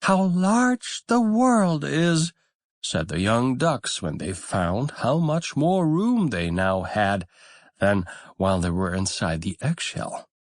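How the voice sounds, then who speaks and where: A man narrating a fairy tale as a scripted audiobook, in a measured, expressive storytelling manner. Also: sits low, no echo, studio quality.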